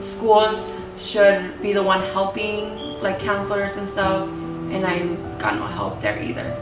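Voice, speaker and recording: A woman's voice: mid-range voice, takes it slowly. A young woman speaking matter-of-factly in a documentary interview. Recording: echoey, distant, music behind.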